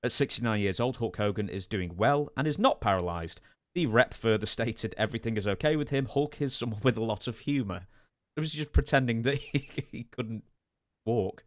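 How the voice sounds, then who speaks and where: A man hosting a podcast in a measured, polite way, putting questions and reading out news items. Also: medium pitch, expressive, no room sound, studio quality. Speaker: podcast host. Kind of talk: interviewing, reading out wrestling news.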